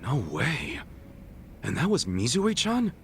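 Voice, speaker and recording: A man's voice: a little high, huge pitch swings, takes it slowly, slight background noise, exaggerated voice. An actor playing an earnest, plain-spoken male friend in a video game, talking colloquially and sympathetically with an American accent. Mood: curious, surprised. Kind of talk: video game dub, conversational. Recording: music behind the voice.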